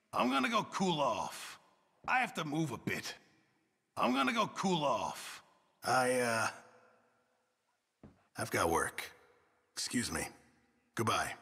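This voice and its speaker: Flustered grumbly middle aged camp cook from a cowboy game, slow and raspy, kinda self-important. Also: male voice, sits high, bright, forceful tone, big-room sound, full character voice. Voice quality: gravelly, husky. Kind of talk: video game character barks.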